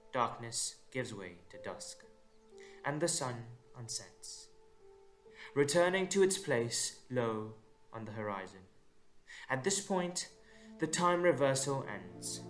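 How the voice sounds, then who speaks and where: A man's voice: medium pitch, fairly bright tone. A man narrating a scripted audio drama in a dramatic storytelling style. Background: instrumental music.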